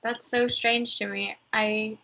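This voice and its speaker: A woman's voice: fairly high pitch, little pitch movement, takes it slowly, creaky, sharp tone, rough recording. A young American woman chatting casually as a podcast guest, rambling with lots of fillers. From United States. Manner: chatty, lots of likes and ums. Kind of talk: casual chat.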